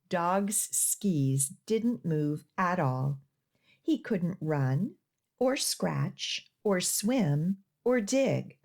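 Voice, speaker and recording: A woman children's librarian reading stories aloud and giving simple instructions in an expressive, deliberate way. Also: on the low side, creaky. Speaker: children's librarian hosting storytime. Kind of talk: read-aloud storytelling for young children.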